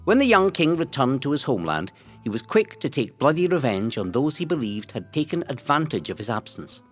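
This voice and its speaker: A man reading scripted historical narration in a measured, dramatic storytelling way. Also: mid-range voice, sing-song. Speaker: narrator of a local history audio guide. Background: music.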